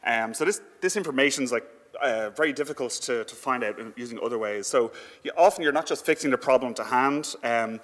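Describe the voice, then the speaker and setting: A man giving an informal conference presentation in a conversational, halting way with frequent filler words. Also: medium pitch, a bit bright. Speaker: conference speaker. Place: indoor conference hall. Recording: echoey hall with long reverb.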